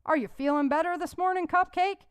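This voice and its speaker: A woman's voice: very high voice, brisk, dry recording, pitched above the performer's own voice. Woman playing a barking drill sergeant. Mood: gruff. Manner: barking, teasing orders. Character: gruff male drill sergeant, bossy and sharp.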